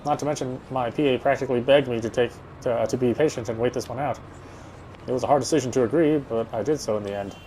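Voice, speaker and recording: A man's voice: mid-range voice, fast talker, a little mellow, slight background noise, low-quality recording. A man reading visual novel narration aloud, sometimes stumbling and going back over phrases. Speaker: game streamer reading aloud.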